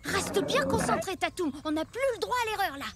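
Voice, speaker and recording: A performer voicing a spirited, competitive cartoon child with a bossy, excitable manner and a streak of exasperation. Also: extremely high pitch, brisk, very noisy. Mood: determined. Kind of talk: cartoon voice acting in French dub. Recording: loud music behind the voice.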